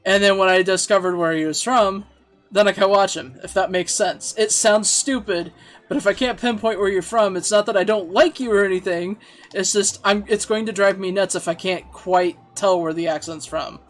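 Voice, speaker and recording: A man's voice: high voice, fairly even intonation, moderate pace. A man streaming a game from home, chatting casually and self-deprecatingly, laughing easily, with faint music behind. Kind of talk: casual chat while playing and reading chat.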